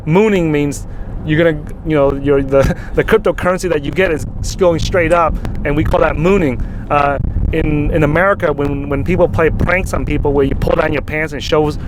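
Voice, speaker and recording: A man co-hosting a loose, casual talk, speaking in a fairly high voice. He is animated, often restarts and doubles his words, and laughs easily. Faint road hum sits under him. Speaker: co-host. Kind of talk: casual podcast chat.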